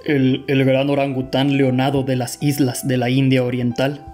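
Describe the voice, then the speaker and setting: Radio drama sidekick, male actor: Mexican accent, careful formal delivery, little pitch movement, attentive and puzzled, faint music bed. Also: completely flat delivery, normal speed, darkish tone, lightly acted. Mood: earnest, puzzled. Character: earnest male companion of a detective, puzzled and attentive. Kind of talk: radio play acting.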